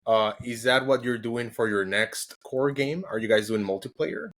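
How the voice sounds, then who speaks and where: A man's voice: mid-range voice, moderate pace, fairly bright tone. Casual chatty male podcast host. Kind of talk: interview conversation.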